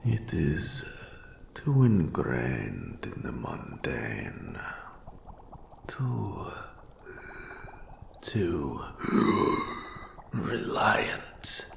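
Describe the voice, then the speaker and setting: A man playing an eldritch, melancholy keeper of forbidden lore in an audio drama, speaking formally and deliberately in a breathy, gravelly whisper. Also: very deep voice, wildly swooping pitch, reverberant, a little hiss or hum, heavily acted. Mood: consoling, solemn. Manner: slow, deliberate, formal, ominous phrasing. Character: eldritch, nameless old archive custodian, formal, patient, melancholy mentor. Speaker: mentor in forbidden magic. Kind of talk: scripted roleplay audio drama.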